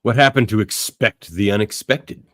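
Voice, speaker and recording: A man playing a gruff, sardonic, defiant loner brawler with a blunt, taunting manner in a radio theatre read. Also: fairly low pitch, normal speed, no room sound, natural voice.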